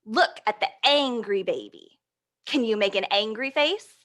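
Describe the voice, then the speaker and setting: A woman presenting an interactive picture-book storytime for young children, encouraging and animated, often asking questions and answering them aloud. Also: fairly high pitch, unhurried.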